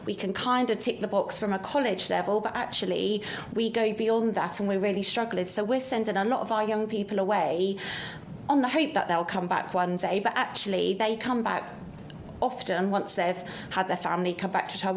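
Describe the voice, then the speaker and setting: A woman on a podcast panel speaks earnestly, laying out a series of concerns in a mid-range voice. Faint background noise runs beneath her. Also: medium pitch. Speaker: panel guest. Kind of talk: panel discussion.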